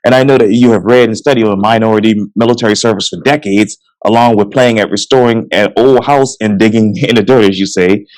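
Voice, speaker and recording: A male interviewer talks quickly at a middle pitch. He leans on the same filler words as he sets up his questions. The sound is dry and close, like a video call. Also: fairly even intonation, a little mellow, no room sound, rough recording. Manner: brisk, repeats "obviously". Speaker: interview host.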